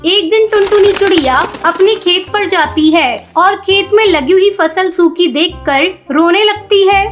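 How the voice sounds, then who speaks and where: A woman's voice: very high-pitched, a little slow. A woman reading scripted narration for a children's story. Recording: music behind the voice.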